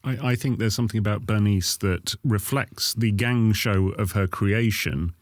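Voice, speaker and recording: A man's voice: on the low side, moderate pace, no room sound. A man speaking thoughtfully and reflectively as he is interviewed for a documentary.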